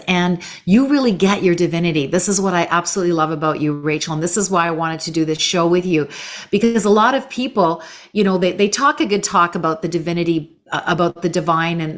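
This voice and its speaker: A woman hosting a spiritual talk show, speaking in an effusive, affirming manner. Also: lowish voice. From Canada. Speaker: show host.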